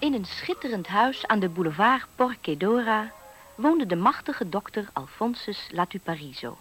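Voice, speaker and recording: A woman narrating a fairy tale for a 1970s radio play in a composed, measured storytelling manner. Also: mid-range voice, very animated, a bit bright, soft background music.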